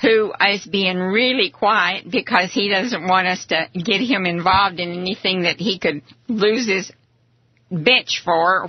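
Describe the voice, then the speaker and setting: A woman's voice: medium pitch, no room sound. A woman hosting a radio talk show, speaking casually as she interviews guests on air. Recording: dull lo-fi radio sound, rough.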